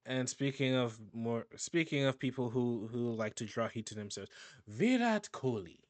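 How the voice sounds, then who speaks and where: A man's voice: medium pitch, lively intonation, normal speed, no room sound. A man giving casual, rambling reaction commentary on a video, often restarting and laughing. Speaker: YouTube reaction host.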